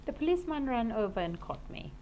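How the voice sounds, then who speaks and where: A woman's voice: a little high, big pitch swings, fast, mellow, a little hiss or hum. A woman reading a children's story aloud expressively, like a class teacher.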